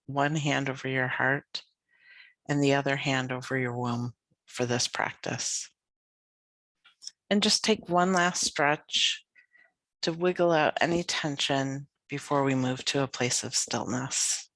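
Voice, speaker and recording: A woman leading a guided yoga nidra meditation as a teacher, speaking gently and soothingly with unhurried phrases and long pauses. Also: very deep voice, no room sound.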